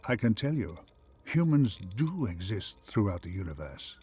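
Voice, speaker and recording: A man playing a calm, wise, once-human artificial intelligence for a game, speaking in measured, formal phrases. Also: fairly low pitch, husky, full character voice. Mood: solemn, reflective. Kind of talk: scripted game companion voice acting.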